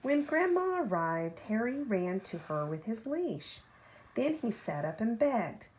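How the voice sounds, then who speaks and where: A woman reading a children's picture book aloud like a parent at bedtime, with expressive, deliberate phrasing and drawn-out key words. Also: a little high, rough recording. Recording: home recording with faint background noise.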